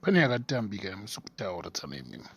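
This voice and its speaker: Very husky, creaky Zimbabwean man playing a teasing buddy. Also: on the low side, very animated, very fast, a bit bright, dry recording, exaggerated voice. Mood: skeptical teasing. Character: skeptical, teasing buddy of a tall-tale teller. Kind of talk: comedy cartoon dialogue.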